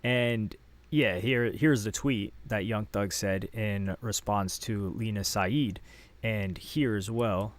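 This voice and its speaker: A man's voice: fairly low pitch, slow. An American man delivering gossip commentary in a news-like way, reading social media posts aloud as he goes.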